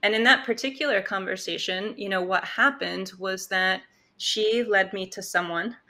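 A woman speaks through an earbud mic on a video call from a room at home. Her voice is bright and a little hoarse. She talks thoughtfully, pausing often with fillers. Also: mid-range voice. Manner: thoughtful, reflective, many fillers. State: slightly hoarse. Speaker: podcast guest, consultant. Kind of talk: casual interview talk. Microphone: earbud headset on video call.

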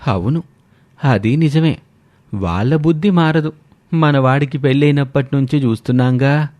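A man playing a mild older father-in-law in a family moral story, gently reproachful and agreeable. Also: mid-range voice, normal speed, close to an everyday voice. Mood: mildly reproving.

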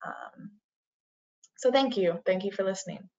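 A woman's voice: mid-range voice, lively intonation, a little slow, gravelly, a little mellow. A woman giving an online presentation, starting many phrases with "uh" and "um". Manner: frequent "uh" and "um" fillers. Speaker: community forestry presenter. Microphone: video call. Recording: dull lo-fi video call audio.

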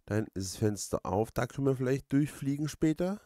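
A man giving casual gaming commentary, halting and repeating words as he rambles. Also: medium pitch, animated, warm tone, no room sound, studio quality. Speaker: let's play host.

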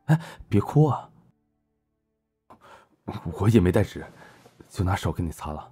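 Man acting a deep, husky, cool rich gentleman. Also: sing-song, faint music behind, exaggerated voice. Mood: soothing. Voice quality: husky, low. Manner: terse, cool, unhurried, gently teasing. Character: cool, terse, teasing rich young businessman. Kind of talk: audio drama acting.

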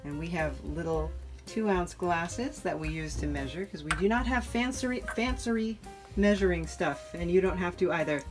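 Woman host, kinda low voice, playful n a bit theatrical, chatty, faint music under it. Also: moderate pace. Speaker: video host. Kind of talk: chatty demonstration and travel narration.

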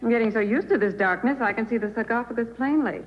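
An actress playing a plucky, excitable young woman, a detective's assistant, in 1940s radio style. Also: fairly high pitch, quick pace, lightly acted. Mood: impatient. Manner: pert, excitable. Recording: muffled, hissy, reverberant old radio.

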